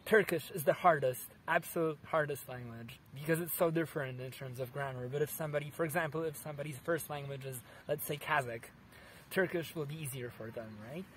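A man in his thirties from Poland with a Polish accent, answering interview questions in a park with lively gestures and many restarts. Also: fairly high pitch, a little fast, a little hiss or hum, low-quality recording. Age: 30s. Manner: animated, gesturing, frequent restarts. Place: outdoors in a sunny park.

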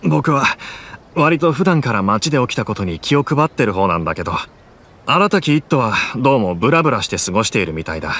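A man's voice: medium pitch, big pitch swings, fairly quick, a bit bright, a little hiss or hum. An actor playing a friendly, observant young townsman in a video game, speaking in an easygoing, thoughtful way.

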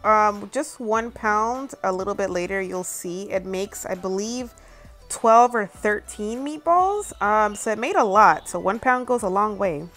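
A woman's voice: medium pitch, a little slow, a bit bright, soft background music. A young American woman talking casually and informally as she narrates a home cooking demonstration. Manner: chatty, informal. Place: home kitchen, indoors.

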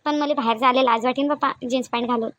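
A woman's voice: high voice, fairly even intonation, rapid-fire, fairly bright tone, no room sound. A woman voicing a shy, naive young newlywed wife in a cartoon, fretful and exclaiming, with a Varhadi Marathi accent from Vidarbha. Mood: bashful worry. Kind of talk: cartoon dialogue acting in colloquial dialect.

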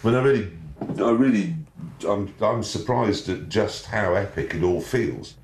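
A man's voice: fairly low pitch, normal speed. A man, a presenter chatting casually in a small office, telling stories with wry emphasis. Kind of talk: casual chat. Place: indoors, small cluttered office. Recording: roomy with faint hiss.

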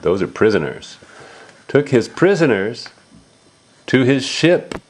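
A man reads a storybook to a young child at an unhurried pace. His pitch moves up and down in a lively way as he asks simple questions and explains words, with exclamations along the way. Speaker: adult reading aloud to a young child. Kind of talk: animated picture-book read-aloud with questions to a child.

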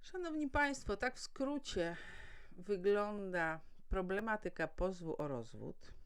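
A woman's voice: medium pitch, expressive, takes it slowly, clear, resonant tone. A woman legal adviser giving a formal, didactic explanatory talk to listeners. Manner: formal, didactic, asks and answers own questions. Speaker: legal adviser and mediator presenting.

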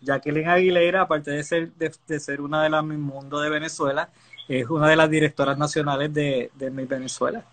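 A man's voice: fairly high pitch, fairly even intonation, brisk, a bit bright. A middle-aged man with a Puerto Rican accent, hosting a casual live interview chat. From Puerto Rico. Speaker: host, interviewer. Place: indoor living room. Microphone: phone at arm's length, video call. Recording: noisy phone video call, clicks.

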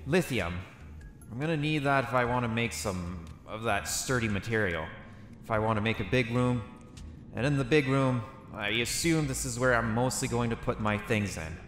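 A man's voice: mid-range voice, normal speed, a bit bright. A man roleplaying a character over gameplay, thinking aloud to himself as he goes. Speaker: roleplaying gamer. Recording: echoey reverberant room with faint music.

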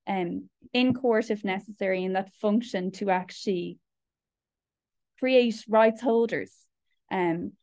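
Woman in her 30s, webinar guest, measured and earnest, creaky low edges. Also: a little mellow. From Ireland. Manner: earnest, measured, frequent ums. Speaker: guest speaker, barrister and lecturer. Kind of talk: webinar discussion. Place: home room with framed pictures. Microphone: laptop webcam on a video call.

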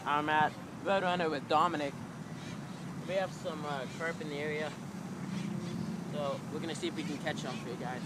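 A young man chats to his viewers while fishing at a city park pond, calling them guys. His voice sits a bit high, and the phone recording is thick with outdoor noise. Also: steady tone, normal speed, pauses often. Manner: chatty, addresses viewers as guys. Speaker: fishing vlogger. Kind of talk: casual fishing vlog narration.

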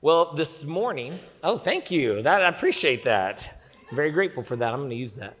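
A man in his thirties, a pastor, co-teaching a sermon with a slightly scratchy voice and an energetic delivery in a large church auditorium. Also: a little high, a little fast. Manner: energetic, gestures while speaking. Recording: long hall reverb.

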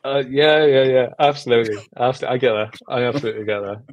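A man's voice: mid-range voice, fast talker, muffled recording. A young man from South Wales with a South Welsh accent, chatting casually and enthusiastically as an interview guest, often repeating words for emphasis. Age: 20s or 30s. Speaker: film director, interview guest. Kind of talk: casual chat. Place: small room at home, video call. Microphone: video call, earbuds.

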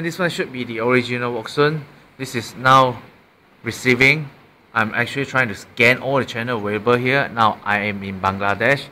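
A Malaysian man talks casually through a gadget demonstration in a medium-pitched, bright voice. He speaks haltingly, repeating words and correcting himself as he goes. The sound is amateur handheld camera audio from a small room, with slight background noise. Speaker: amateur product reviewer. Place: small room indoors at a desk. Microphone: built-in mic of a handheld camera.

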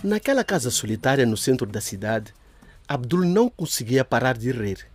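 A man narrating a scripted audio drama in a lively storytelling manner. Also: medium pitch, husky, no echo.

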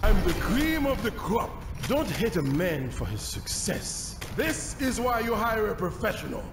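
Smooth boastful army medic, game hero, crackly voice, echoey. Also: male voice, high-pitched, very animated, measured pace, exaggerated voice. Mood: cocky. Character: charming, cocky male combat medic. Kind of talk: video game hero voice lines. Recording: echoey, music behind.

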